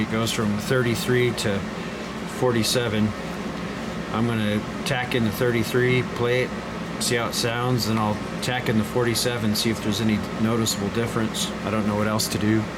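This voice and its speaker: A man's voice: mid-range voice, heavy background noise. A man narrating a hands-on repair casually, thinking aloud as he works. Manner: thinking aloud while working. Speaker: hobbyist radio repairer.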